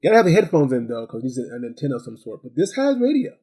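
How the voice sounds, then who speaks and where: A man's voice: medium pitch, very animated, racing, mellow, breathy edge, no echo. A man giving a casual, conversational hands-on product review, often repeating phrases for emphasis.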